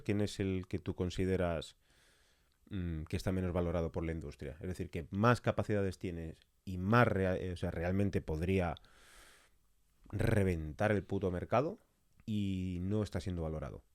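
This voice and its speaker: Podcast host guy, spain accent, really low voice, dry close sound, just chatting. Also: steady tone, a little slow, dry recording. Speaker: podcast interviewer. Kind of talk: casual conversational interviewing.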